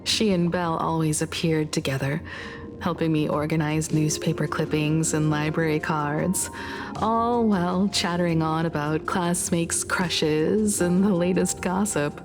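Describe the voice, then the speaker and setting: A woman playing a poised, gentle, scholarly young woman in a video game, speaking in a measured, articulate, reflective way. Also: deep voice, steady tone, over music. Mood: wistful, reflective. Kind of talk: video game story dialogue.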